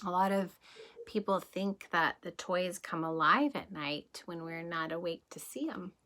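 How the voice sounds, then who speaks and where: Bright-voiced teacher reading a picture book slowly. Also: female voice, fairly low pitch. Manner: slow, sing-song rhyming reading with gentle questions to children. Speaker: kindergarten teacher. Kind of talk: picture-book read-aloud for young children.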